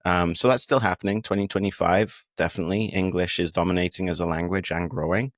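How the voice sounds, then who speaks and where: A British man with a very deep, low voice talks through his ideas. He speaks reflectively, with an easy manner and occasional fillers. The sound is dry and close to the mic. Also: fairly even intonation, quick pace, dry recording. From United Kingdom. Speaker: podcast guest. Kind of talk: casual conversation.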